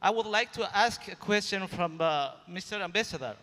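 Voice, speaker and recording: A high-voiced man with an Afghan accent speaks formally and haltingly as he asks a question at a debate. His tone is bright and forceful, and it rings with long reverb from a big hall. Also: animated. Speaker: audience member asking a question. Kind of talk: prepared question at a public debate.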